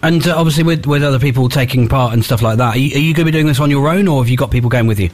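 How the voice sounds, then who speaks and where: A man's voice: medium pitch, very fast. A British man presenting on the radio, chatting and interviewing in an informal, rambling, jokey way.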